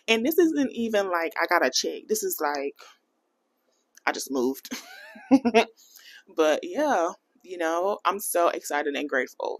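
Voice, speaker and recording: An American woman chatting casually in a budgeting vlog, animated and playful, laughing often and dropping into a Jamaican accent for bits. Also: lowish voice. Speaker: budgeting vlogger.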